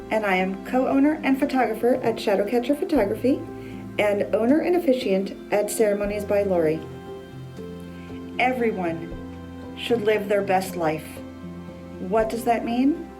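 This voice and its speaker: A woman's voice: medium pitch, moderate pace, over music. A woman who is a photographer and officiant, giving a proud, declarative promotional message.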